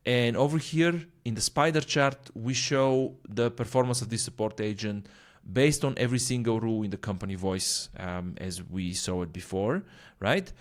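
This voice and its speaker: A man narrates a software walkthrough as the presenter. He explains things informally, step by step, and often tags his points with a checking "right?". His voice sits at a middling pitch.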